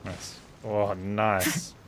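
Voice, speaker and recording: A man's voice: on the low side, flat delivery, extremely slow, heavy background noise. A man streaming a game with casual, rambling, opinionated commentary. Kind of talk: casual live gameplay commentary. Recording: game music and effects behind.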